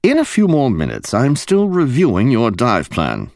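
A young English ship's captain speaks in a starchy, formal tone, as a male narrator plays him. The voice is lifted a bit above the narrator's low register and keeps a gravelly texture. It sounds dry and close. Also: wildly swooping pitch, moderate pace, a little mellow, dry recording. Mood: stern, proper.

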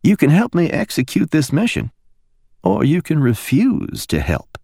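Man doing a woman officer, silky Alabama drawl, soft-spoken, raised higher, gravelly undertone. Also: wildly swooping pitch, a little slow, no echo, studio quality, full character voice. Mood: silky, insistent. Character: poised woman security officer, coolly persuasive.

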